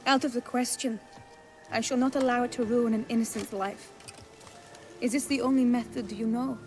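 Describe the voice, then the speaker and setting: An actress playing an earnest, resigned young noblewoman under a curse in a video game, speaking formally in measured, old-fashioned phrases. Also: fairly high pitch, fairly even intonation, darkish tone, soft background music, natural voice. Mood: firm refusal. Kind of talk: video game cutscene acting.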